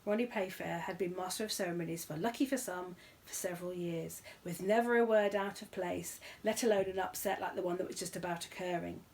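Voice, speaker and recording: A woman's voice: lowish voice, a little fast, darkish tone. A woman reading a children's story aloud as storyteller, in scripted narration.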